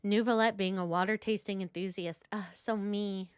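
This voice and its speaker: A woman's voice: mid-range voice, steady tone, moderate pace, clear, resonant tone. A woman game streamer giving casual, playful, gushing live reaction commentary.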